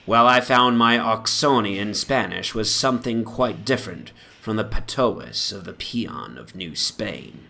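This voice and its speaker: A man giving a formal, measured, theatrical dramatic reading of a gothic horror story as its narrator. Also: fairly low pitch, gravelly, fairly bright tone. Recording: background noise from an ambient soundscape. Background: atmospheric soundscape.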